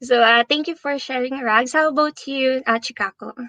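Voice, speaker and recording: A woman's voice: high voice, moderate pace, pushed and bright, no echo. A woman hosting a livestream interview, upbeat and effusive, with many fillers.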